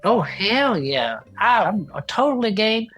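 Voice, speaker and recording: A woman's voice: medium pitch, big pitch swings, takes it slowly, fairly bright tone, soft background music. A woman playing a folksy, earnest cryptid investigator who co-hosts a podcast in a chatty comedy audio drama. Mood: playful. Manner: folksy, says y'all. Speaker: podcast co-host character. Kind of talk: scripted audio comedy dialogue, chatty banter.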